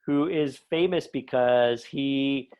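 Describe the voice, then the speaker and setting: A man's voice: medium pitch, takes it slowly, a little mellow, clear, resonant tone. A man speaking casually as an interview guest, explaining things in a rambling, enthusiastic way. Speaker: podcast guest, writer.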